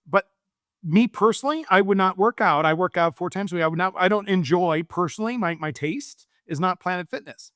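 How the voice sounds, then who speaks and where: A man's voice: sits high, a bit bright, no room sound, clean studio sound. A man speaking as an interview guest in a casual, anecdotal way, often checking in with short tag questions. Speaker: real estate investor, interview guest. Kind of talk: casual conversational interview talk.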